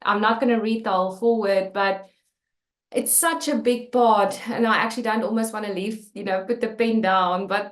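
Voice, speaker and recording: South African woman author, Afrikaans first language, sincere and halting, roomy sound. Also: medium pitch. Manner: candid and earnest, many fillers and restarts. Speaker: author and channel host. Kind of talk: personal talk about her book.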